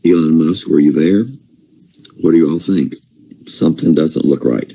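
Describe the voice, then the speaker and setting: A man whose voice is wearing out reels off vote counts and keeps asking if it makes sense. His voice is extremely deep and very dark. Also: moderate pace, gravelly. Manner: incredulous, rhetorical, lists numbers. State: losing his voice. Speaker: political commentator. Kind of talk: casual commentary.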